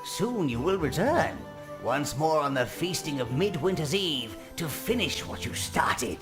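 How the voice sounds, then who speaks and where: An actor playing an elderly, servile minion adviser in a video game, dryly sinister and theatrical. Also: male voice, fairly high pitch, big pitch swings, unhurried, over music. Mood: ominous relish. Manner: obsequious, theatrical, dry understatement. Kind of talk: scripted video game character acting.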